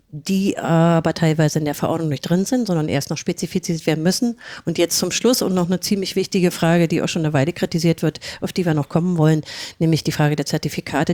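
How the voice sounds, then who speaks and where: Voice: mid-range voice, darkish tone, no room sound. A podcast co-host summarizing criticism fluently, with occasional fillers. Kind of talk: podcast discussion.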